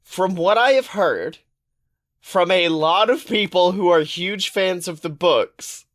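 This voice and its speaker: A woman's voice: fairly low pitch, slow pace. A woman co-hosting a podcast in casual banter, blunt, sweary and contrarian. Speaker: podcast co-host.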